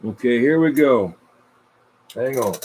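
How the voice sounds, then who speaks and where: A man's voice: medium pitch, animated, takes it slowly, a little mellow, slightly rough. A man hosting a game over the radio with informal, repetitive live chatter, announcing as he goes. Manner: informal, repeats himself.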